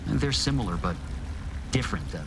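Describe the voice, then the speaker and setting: A man's voice: on the low side, lively intonation, normal speed, noisy background. A man playing a dutiful, earnest young soldier, a colonel's nervous son, hesitant and deferential, in a motion comic drama. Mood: cautious. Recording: music clearly behind the voice.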